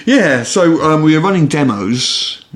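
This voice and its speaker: A man's voice: on the high side, expressive, unhurried. A British man, a podcast host, talking in a casual, conversational way.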